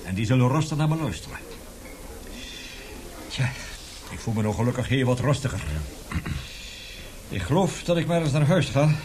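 A man's voice: medium pitch, normal speed, pauses often, background noise, close to an everyday voice. An actor in a radio drama playing an embittered, passionate male professor who lectures emphatically and indignantly. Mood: determined.

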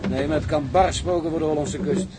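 A man's voice: a little high, steady tone, brisk, natural voice. An actor playing a hearty, plain-spoken seaman in a period maritime drama. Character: hearty, plain-spoken seaman, respectful mate to his skipper. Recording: clearly noisy background ambience.

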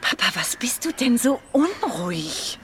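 A reproachful adult daughter in a radio drama. Her delivery is dry and natural, a touch high, with slight background noise. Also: big pitch swings, unhurried, fairly bright tone.

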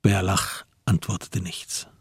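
A man narrating a crime radio play as a grave storyteller, in scripted literary prose with a husky voice. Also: low voice, little pitch movement, takes it slowly, dry recording, studio quality, heavily acted. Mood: sober.

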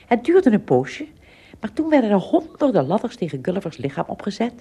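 A woman narrating a scripted children's story expressively, with dramatic pauses and little asides. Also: medium pitch, big pitch swings, dark tone, husky.

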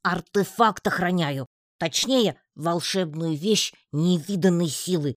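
A woman's voice: on the low side, no echo, studio quality, pitched above the performer's own voice. A woman voicing a tiny, lonely male spider who talks in a plaintive, pleading way for an audiobook. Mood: plain. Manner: plaintive, pleading, quick to take offence. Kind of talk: audiobook reading.

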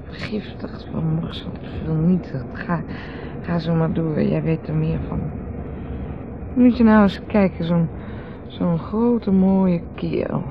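A woman playing a bitter, mocking wife in a radio drama, speaking in a sardonic, halting way. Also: fairly low pitch, steady tone. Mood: bitter mockery. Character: bitter, mocking wife needling her brother-in-law. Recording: dull noisy old radio recording, outdoor ambience.

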